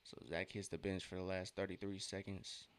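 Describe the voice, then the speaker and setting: A man's voice: low voice, little pitch movement, normal speed, clean tone. A thirty-year-old man hosting a sports watch-along stream, chatting casually in a laid-back, slangy way between bits of commentary. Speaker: livestream watch-along host.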